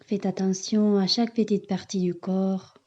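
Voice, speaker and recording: A woman yoga teacher leading a guided meditation in soothing, measured instructions with long pauses, on a slightly muffled recording. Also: mid-range voice, level tone, a little mellow.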